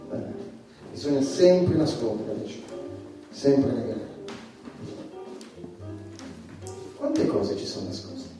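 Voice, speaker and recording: An actor playing a provocative, irreverent male stand-up comedian in a theatrical monologue, addressing the audience with dramatic pauses and repeated phrases. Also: medium pitch, lively intonation, close to an everyday voice. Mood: wry, questioning. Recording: echoey room, distant mic, music behind.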